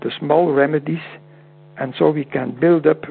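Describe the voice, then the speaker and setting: A man with a Dutch accent whose first language is Dutch, speaking as a guest in an interview over a call, halting and often repeating words. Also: on the high side, fairly even intonation, vocal fry, slightly rough. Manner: halting, repeats words, non-native phrasing. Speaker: guest homeopath. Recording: tinny phone-like line with hum.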